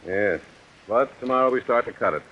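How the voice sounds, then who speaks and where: A man acting as a gruff, wealthy old boss in a 1940s radio drama, brusque and offhand. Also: mid-range voice, animated, a little fast, natural voice. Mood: offhand, proud. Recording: dull, hissy vintage radio recording.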